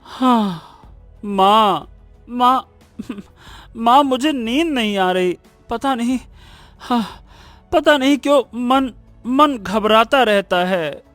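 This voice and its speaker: An impulsive young son from a cartoon morality tale, acted out by a voice performer. He speaks very slowly in a high, emotional voice and repeats his words, over faint music. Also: animated, fairly bright tone, dry recording. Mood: uneasy. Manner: stammering, repeats words when anxious. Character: Raju, greedy boastful young man turned desperate, remorseful son. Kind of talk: Hindi cartoon moral story acting.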